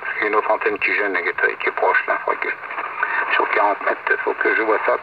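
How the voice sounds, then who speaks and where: A man's voice: fairly low pitch, fairly even intonation, fast talker, lo-fi sound. A man in casual technical chat as an amateur radio operator. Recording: distant, noisy, band-limited radio transmission.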